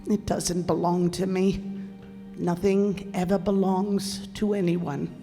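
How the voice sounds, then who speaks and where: Radio play: elderly widow female actor, wistful and halting, drawn-out pace, faint music underneath. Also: lowish voice, level tone, mellow. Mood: resolute, giving away. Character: wistful old widow clinging to her past. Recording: hall reverb, music bed.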